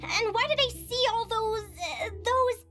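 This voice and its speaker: Very high, earnest fairy girl. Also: a little slow. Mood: earnest, questioning. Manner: expressive, earnest, eager. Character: determined, earnest young fairy girl, Linnea. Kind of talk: anime-style video game voice acting. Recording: game music behind the voice.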